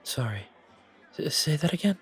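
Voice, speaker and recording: A man's voice: a little high, sing-song, moderate pace, a bit bright, breathy edge, exaggerated voice. Man playing a friendly bartender over crowd chatter. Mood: concerned, reassuring. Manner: chatty, hesitant, soothing. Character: friendly, reassuring male bartender at a supernatural bar. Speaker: audio roleplay voice actor. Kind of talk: casual, naturalistic one-sided roleplay acting. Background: bar crowd chatter.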